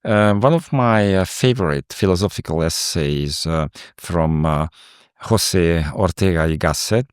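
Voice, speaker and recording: Low-voiced Hungarian man, halting. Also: clean tone, no room sound, studio quality. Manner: halting, with many fillers. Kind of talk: panel discussion.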